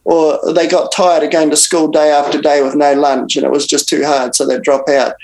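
An older man, a Bible translator, speaking as an interview guest and recounting events in an unhurried way with frequent restarts and pauses. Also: on the high side, level tone, gravelly, darkish tone, rough recording. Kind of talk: reflective interview storytelling.

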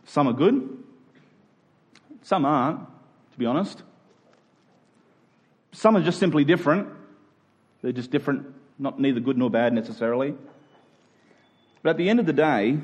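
A man's voice: a little high, a little mellow, slightly rough. A man with an Australian accent preaching as a pastor, earnest and conversational, often posing questions to his listeners. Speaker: church pastor. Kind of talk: sermon.

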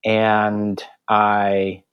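A man's voice: fairly low pitch, completely flat delivery, very slow, clean tone, muffled recording. A middle-aged man from Guatemala, a theology professor, talking thoughtfully about his life in an interview. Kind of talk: interview conversation.

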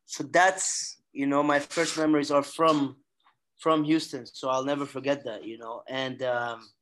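A young man who grew up in Nigeria, talking casually as an interview guest, animated and often restarting his sentences. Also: medium pitch, a little slow, low-quality recording. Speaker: guest musician.

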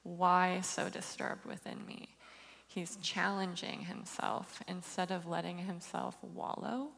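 A woman giving a reflective sermon talk about a psalm, measured and slow, sometimes laughing lightly. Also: lowish voice, little pitch movement, gravelly, a bit bright, big-room sound. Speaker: co-presenter of a church testimony.

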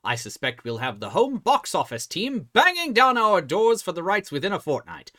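Wildly animated, wry show host. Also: mid-range voice, normal speed. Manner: wry, showy. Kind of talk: theatrical scripted show introduction.

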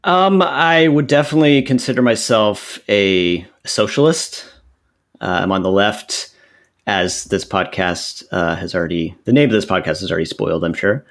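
A man in his thirties from Central Texas, a podcast guest who explains his views in an earnest, measured way. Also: on the low side. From Central Texas, USA. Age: 30s. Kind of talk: podcast interview answers.

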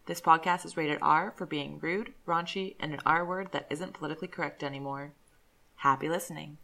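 Gravelly low woman reading a show intro. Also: fairly even intonation, fairly quick, sharp tone, slight background noise.

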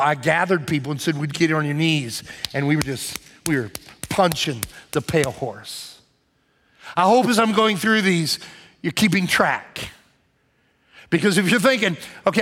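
A pastor delivers his sermon in a slightly higher-than-average man's voice. He speaks conversationally and drives his points home by repeating key phrases. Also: fairly bright tone. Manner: conversational preaching with emphatic repetition.

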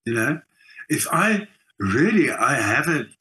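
A man's voice: mid-range voice, big pitch swings, takes it slowly, bright, forceful tone, poor audio. A man in his sixties with a South African accent, speaking reflectively and emphatically in a conversational interview. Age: 60s. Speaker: interview guest, writer and performer.

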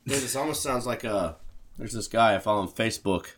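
Brief: a joking male co-host with quick crude asides, light hiss behind. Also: fairly low pitch, a bit bright. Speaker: podcast co-host. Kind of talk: casual comedic banter.

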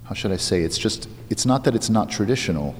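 Male interviewee, music director type: low voice, thoughtful, explanatory, conversational. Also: lively intonation, a little fast, in a large hall, slight background noise. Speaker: music director of an a cappella group being interviewed.

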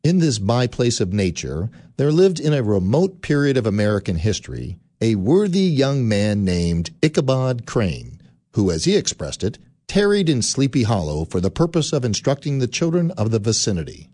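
A man narrating a spooky old tale in a measured, expressive storytelling manner for a radio drama. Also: medium pitch, dry recording, studio quality.

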